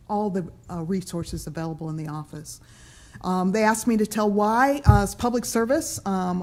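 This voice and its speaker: A woman from Nebraska speaks at a podium microphone in a council chamber. Her voice is lowish and mellow. She delivers a measured statement with scattered fillers. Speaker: law professor, commission applicant. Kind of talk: public statement.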